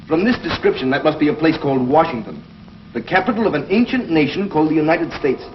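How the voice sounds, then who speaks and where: A man plays a learned doctor of the future in a 1950s TV space serial. He explains things earnestly and deliberately, with widely swinging pitch. The soundtrack is dull and noisy. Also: expressive, lightly acted. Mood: grave, businesslike. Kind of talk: 1950s television science fiction acting.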